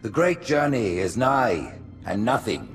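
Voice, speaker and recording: An actor playing a scheming alien high priest in a game, addressing his people in a measured, oratorical way. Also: big pitch swings, music in the background. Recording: game score behind.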